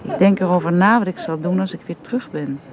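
An actress playing a caring, devoted woman who minds a child in a radio drama, with a gentle, encouraging manner. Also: lowish voice, fast talker, a crowd in the background, natural voice. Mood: affectionate reading. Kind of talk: naturalistic radio drama acting. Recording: muffled, noisy old radio recording.

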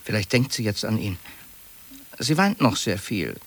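An actor in a 1950s radio play, playing a fussy, anxious middle-aged office clerk who talks in a confiding, hesitant, gently pleading way. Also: male voice, on the low side, very animated, unhurried, full character voice. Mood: confiding, observing.

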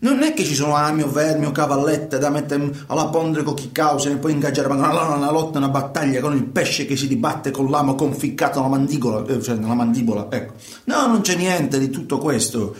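An actor playing a troubled working fisherman in a colloquial, halting radio monologue, repeating himself. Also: fairly high pitch, echoey room, lightly acted. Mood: matter-of-fact, conversational. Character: troubled working fisherman recounting life at sea. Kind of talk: theatrical radio monologue in conversational storytelling.

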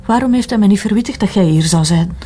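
An actress playing an evasive, nervous accomplice in an audio drama, hesitant and defensive, with a Flemish accent. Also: female voice, mid-range voice, fast, dark tone, a little hiss or hum, lightly acted. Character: evasive, nervous young female accomplice under interrogation.

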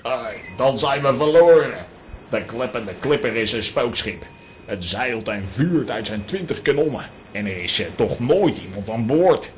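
A man's voice: mid-range voice, expressive, a little slow, a little hiss or hum, lightly acted. A man playing a superstitious, easily panicked sea captain in a children's audio play, with alarmed exclamations. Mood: alarmed, fearful.

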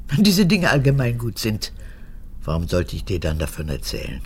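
An actress playing an old, manipulative and clingy mother, an unrepentant former camp guard, in a gravelly voice for a radio drama. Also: wildly swooping pitch, normal speed, a little hiss or hum, full character voice. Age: older. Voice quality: creaky, gravelly. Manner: erratic, swinging from whispers to shrill outbursts.

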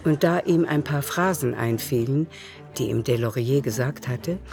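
A woman's voice: a bass voice, normal speed, creaky, a little mellow, soft background music. A woman narrating a literary radio drama as a storyteller.

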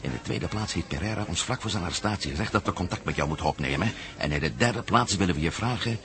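A man's voice: low-pitched, expressive, quick pace, background noise. A man acting in a Dutch radio play as a blunt, suspicious adventurer who questions insistently. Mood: probing, insistent. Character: urgent, probing male American adventurer abroad, blunt and suspicious.

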